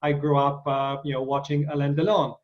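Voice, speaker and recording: Enthusiastic host, slightly high voice. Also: male voice, flat delivery, fairly quick, lo-fi sound. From Ukraine. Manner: enthusiastic, frequent fillers and restarts. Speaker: interview host. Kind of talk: casual video interview.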